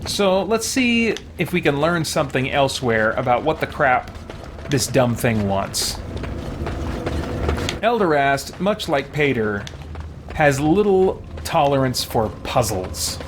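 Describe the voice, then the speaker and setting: A man's voice: mid-range voice, very animated, slow, noisy background. A man chatting casually as he streams a game, reading on-screen text aloud and muttering mild exclamations to himself. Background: game music.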